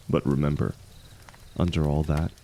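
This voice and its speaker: A man playing a seasoned ex-mercenary warrior in an intimate fantasy audio drama, gruff but kindly, gently teasing and unhurried in his talk. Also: a bass voice, flat delivery, a little hiss or hum, full character voice. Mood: tender, serious.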